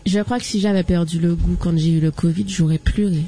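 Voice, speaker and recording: A woman's voice: fairly low pitch, fairly even intonation, fairly quick, dark tone, slight background noise. A woman talking casually on a radio show, slangy and dramatic in the way she puts things. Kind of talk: casual chat.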